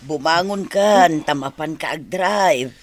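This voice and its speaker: A woman playing a worried, nagging middle-aged mother in a radio drama, with a husky voice and a Filipino accent. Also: sits low, slow pace. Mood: scolding.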